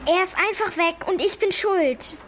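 A woman's voice: very high-pitched, normal speed, a bit bright, slight background noise. A female performer playing an earnest, insistent young schoolgirl in a German children's radio play, blunt and worried. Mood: earnest, defensive. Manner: blunt, insistent, hesitant when unsure.

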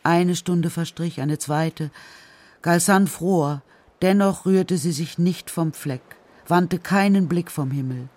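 A male narrator tells a children's story in a radio play. His voice is husky and a touch higher than average, reading scripted prose. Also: takes it slowly, a little mellow.

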